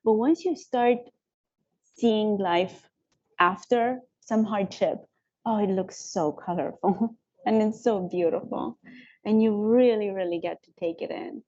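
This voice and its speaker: Animated woman, dark tone. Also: medium pitch, unhurried, highs cut off. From Romania. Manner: warm, animated storytelling with frequent laughs. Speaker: company founder on a panel. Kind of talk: personal storytelling on a panel.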